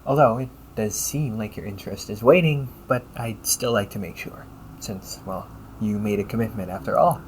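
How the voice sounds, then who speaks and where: A man voicing an upbeat, teasing AI companion in an audio roleplay, polite and fussily helpful, with a gently nagging manner. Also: lowish voice, normal speed, slight background noise, natural voice, low-quality recording. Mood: helpful, chatty. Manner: polite, chirpy, gently nagging, butler-like phrasing. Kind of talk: scripted audio roleplay addressed to the listener.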